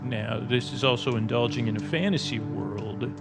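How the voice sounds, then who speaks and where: A man's voice: medium pitch, steady tone, moderate pace. A middle-aged man hosting a podcast, delivering a rambling, whimsical, hesitant monologue that keeps interrupting and apologizing for itself, with sound-design effects. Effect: sound-design effects over the episode. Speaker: podcast host. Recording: dull lo-fi sound under loud music. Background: loud music.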